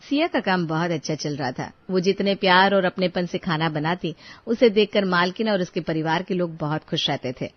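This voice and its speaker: A woman's voice: fairly low pitch, moderate pace, dry recording, lo-fi sound. A woman storyteller reading scripted moral-story narration.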